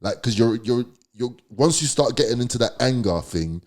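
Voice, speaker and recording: A man with a London accent and a deep voice, telling a personal story as a podcast guest in slangy, emphatic conversation. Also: lively intonation, normal speed, darkish tone. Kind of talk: candid storytelling.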